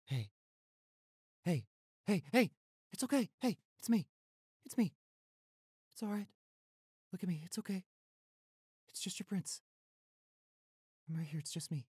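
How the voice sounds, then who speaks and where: A man's voice: fairly high pitch, animated, unhurried, long pauses, a little mellow, breathy edge, dry recording, studio quality, full character voice. A man playing a protective, tender young boyfriend who turns fierce toward bullies, in an intimate audio roleplay. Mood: soothing, worried. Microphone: close studio microphone.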